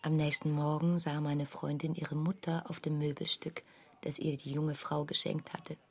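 A woman narrating a literary radio play as a quiet storyteller of uncanny events, with a husky, breathy voice. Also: sits low, level tone, moderate pace. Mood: quiet wonder.

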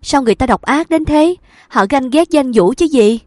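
A woman voicing a distraught young woman in a hospital who pleads, high-pitched and loud, for her lost love, in an audiobook reading. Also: lively intonation, unhurried, dry recording, studio quality. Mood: anguished.

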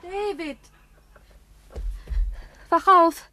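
Very high-pitched, frightened young captive. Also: female voice, extremely slow, bright, forceful tone, exaggerated voice. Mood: anxious, stammering. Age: young adult. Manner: breathless, stammering, pleading. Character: frightened, desperate young woman held captive. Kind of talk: 1960s German radio crime drama acting.

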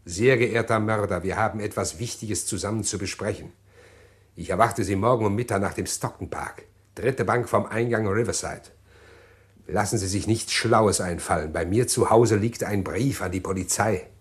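A man's voice: lowish voice, close to an everyday voice. An actor playing a haughty, guarded murderer who tells his own story in a vintage radio play. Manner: measured, haughty, hesitant.

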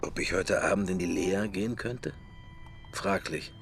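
A man's voice: deep voice, very animated, measured pace, vocal fry, faint music behind, exaggerated voice. An actor playing a middle-aged man's drifting inner thoughts in a radio play, in murmured fragments. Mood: idle pondering. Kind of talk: radio play interior monologue.

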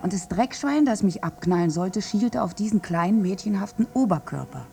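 A woman's voice: mid-range voice, animated, normal speed, gravelly, warm tone. An actress playing a bitter, wounded village woman who survived a massacre, in a theatrical, morbidly wry radio drama monologue. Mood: haunted, defiant.

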